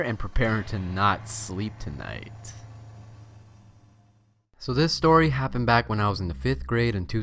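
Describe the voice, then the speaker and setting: A man's voice: medium pitch, fairly bright tone, a little hiss or hum. A man hosting and reading a scary story as narration, with a theatrical, suspenseful delivery. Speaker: host and story reader.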